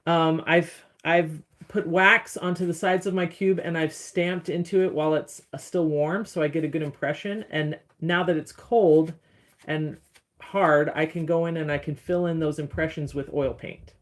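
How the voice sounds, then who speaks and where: Deep-voiced woman, casual livestream chat, rambling, answering comments, phone mic. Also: steady tone, moderate pace. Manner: chatty, rambling, reading and answering viewer comments. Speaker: encaustic artist streaming live.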